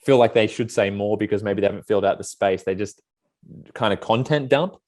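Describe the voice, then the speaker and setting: Male interviewer, slightly low voice, casual conversational questioning. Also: brisk, darkish tone.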